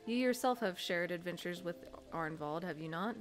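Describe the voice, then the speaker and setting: A woman's voice: fairly low pitch, lively intonation, moderate pace. A woman hosting a game playthrough, reading on-screen dialogue aloud and dropping in casual asides. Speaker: gaming channel host. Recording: loud game music behind the voice.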